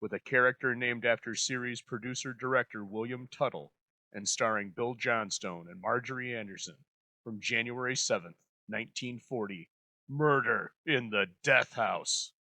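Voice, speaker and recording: A man's voice: medium pitch, moderate pace, sharp tone, no room sound. A man hosting with scripted narration to introduce an old-time radio episode.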